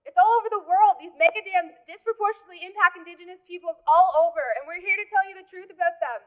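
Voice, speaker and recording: High-pitched Canadian woman giving a rally speech. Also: quick pace, dull audio. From Happy Valley-Goose Bay, Labrador, Canada. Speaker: Inuk activist speaker.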